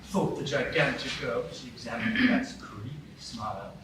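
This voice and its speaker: A man's voice: medium pitch, very animated, moderate pace. A man narrating a fairy tale in a live radio play, in a wry storytelling way. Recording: very echoey room, mic far off, background noise.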